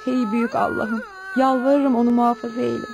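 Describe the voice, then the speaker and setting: A woman's voice: on the high side, flat delivery, a little fast, creaky, over music. A woman playing an emotional, stubborn young village daughter who pleads and commands in a radio play. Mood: tearful pleading. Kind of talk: Turkish radio theatre acting. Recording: noisy old radio play recording.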